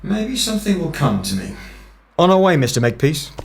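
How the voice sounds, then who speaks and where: A man playing a curt, grave, mysterious time investigator who explains deliberately in an audio drama. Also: a little high, big pitch swings, noisy background. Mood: terse. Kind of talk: fan-made audio drama acting.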